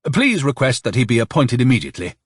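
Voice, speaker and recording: A man's voice: mid-range voice, very animated, quick pace, breathy edge, no echo, studio quality, pitched above the performer's own voice. A man voicing a suave, insincere army colonel in an audiobook, with honeyed, emphatic politeness. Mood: brisk command.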